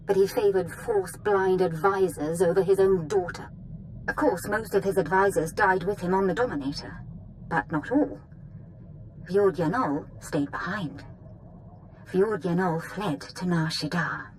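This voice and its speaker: An actress playing a haughty, threatening young dark lord in a video game, speaking theatrically. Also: female voice, lowish voice, moderate pace, slight background noise, natural voice, poor audio. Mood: indignant. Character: imperious, menacing young female dark lord, daughter of a dead master. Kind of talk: scripted video game acting.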